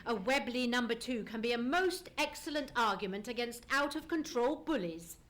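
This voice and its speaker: A woman playing a shrewd, assured gentleman detective, formal and precise, in a live radio play. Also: on the high side, lively intonation, normal speed, background noise, close to an everyday voice. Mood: brisk, serious. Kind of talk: live old-time radio play acting.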